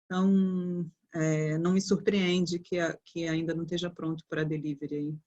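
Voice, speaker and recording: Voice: medium pitch, level tone, moderate pace, darkish tone, dull audio. Hesitant participant, repeating words. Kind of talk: casual online meeting.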